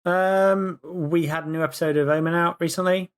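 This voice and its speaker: A man's voice: fairly high pitch, fairly even intonation, moderate pace. A man taking part in a tabletop roleplaying game, talking casually in and out of character.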